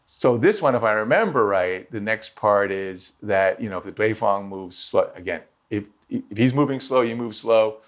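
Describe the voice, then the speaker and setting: A man teaching swordsmanship, answering questions in a rambling, self-interrupting and lively way. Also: fairly low pitch, moderate pace, few pauses. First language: English. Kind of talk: conversational Q&A answers.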